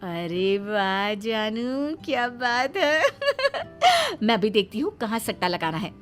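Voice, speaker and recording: A woman's voice: on the high side, big pitch swings, takes it slowly, music in the background. A woman voicing a cocky, cheeky young daughter-in-law in a Hindi moral-story cartoon, theatrical and boastful. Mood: gleeful, boastful.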